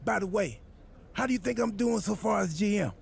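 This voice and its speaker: An actor playing a goofy, self-important wrestler turned boss in a video game cutscene, with a gravelly, husky voice and an earnest, absurdly literal, rambling manner. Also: male voice, sits high, expressive, brisk, slight background noise, exaggerated voice. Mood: smug, cheerful. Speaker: wrestler acting as general manager.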